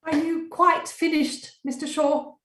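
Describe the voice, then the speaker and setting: An indignant, bossy female director, played by a woman in a stage comedy. Her extremely high voice protests from some way off the mic in an echoey room. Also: level tone, measured pace, a bit bright, distant mic. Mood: exasperated.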